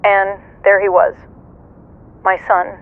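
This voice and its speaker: A woman's voice: mid-range voice, monotone, clean tone, natural voice. Grieving mother played by a woman, muffled radio sound. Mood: shaken, sombre. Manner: halting, sorrowful. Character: grieving, guilt-ridden single mother of a killer son. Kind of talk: emotional interview testimony in radio horror drama.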